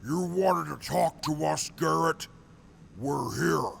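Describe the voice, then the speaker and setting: A man's voice: on the high side, animated, slow, creaky, fairly bright tone, a little hiss or hum, exaggerated voice. An actor playing a stern, blunt male squad member in an audio drama.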